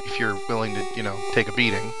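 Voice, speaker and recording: A man's voice: on the low side, little pitch movement, fast. A man giving casual, chatty let's-play commentary on a video game, with wry asides. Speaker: let's player. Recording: game music behind the voice. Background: video game music.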